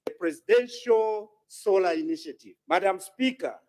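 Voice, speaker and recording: Male government minister, Zambian accent, high voice, formal and deliberate parliamentary delivery. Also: lively intonation, darkish tone.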